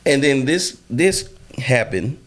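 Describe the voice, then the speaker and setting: A man hosting a casual podcast interview, telling stories in an animated way with many fillers and loud bursts. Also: medium pitch, takes it slowly. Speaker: podcast host.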